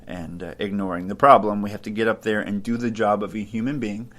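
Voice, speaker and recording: A man's voice: on the low side, fairly even intonation, a little fast, fairly bright tone. A man hosting a podcast, talking casually and thinking aloud with fillers and restarts. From Ohio, United States. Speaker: podcast host. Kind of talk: casual podcast commentary on philosophy.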